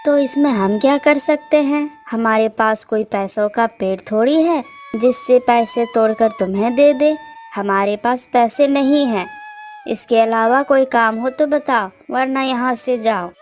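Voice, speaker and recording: A cold, tight-fisted sister-in-law voiced by a woman in a cartoon moral tale. Her voice sits fairly high and her delivery is curt and dismissive, with faint music behind. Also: unhurried, darkish tone, lightly acted. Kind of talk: cartoon moral story acting.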